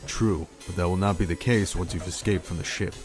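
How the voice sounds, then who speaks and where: A man playing a calm, courteous older gentleman in a game fan dub, speaking in a measured, formal way. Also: low voice, over music, close to an everyday voice. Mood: calm, firm.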